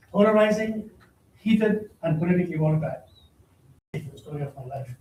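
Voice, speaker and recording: A man with a big, loud, high voice that still sounds dark, lecturing to a conference crowd and tossing in wry jokes. He is picked up from a distance in a roomy hall, with a faint hum of the room behind him. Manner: direct, joking asides to the audience. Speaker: keynote speaker. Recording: echoey hall, distant mic, faint noise.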